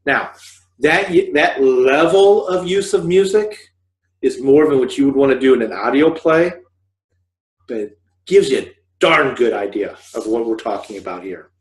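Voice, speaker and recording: A man's voice: medium pitch, expressive, moderate pace. Middle-aged man explaining, in an echoey room. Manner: rambling, explanatory, with self-corrections. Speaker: host of a scriptwriting video podcast, fiction writer. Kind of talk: informal instructional talk to camera. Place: living room couch, indoors. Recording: roomy, echoey home recording.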